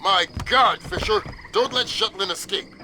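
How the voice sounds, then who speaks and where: An actor playing an authoritative middle-aged mission commander in a video game, giving brisk, urgent orders. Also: male voice, high-pitched, noisy background. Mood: alarmed.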